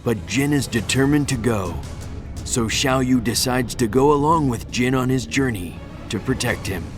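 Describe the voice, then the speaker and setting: A man reading scripted story narration in a measured, expository manner. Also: mid-range voice, music in the background.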